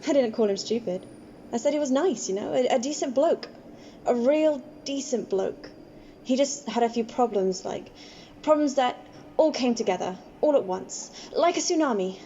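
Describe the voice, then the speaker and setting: A woman acting a kind, stubbornly loyal homeless woman in an amateur radio play, plain-spoken, colloquial and a little halting. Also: on the high side, lively intonation, warm tone. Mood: gentle, hesitant. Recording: dull lo-fi home recording, faint noise.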